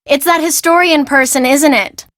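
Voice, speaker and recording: A woman's voice: sits high, normal speed, fairly bright tone, dry recording, studio quality. A woman voicing a curt, dismissive young woman in a video game. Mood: impatient. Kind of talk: video game character acting.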